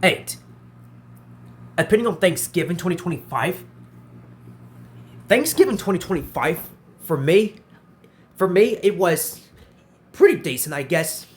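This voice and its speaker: A man's voice: high-pitched, expressive, measured pace, long pauses, a little hiss or hum. A man in his twenties hosting a casual question-and-answer vlog, who often repeats himself and restarts his phrases. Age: 20s. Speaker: channel host answering viewer questions.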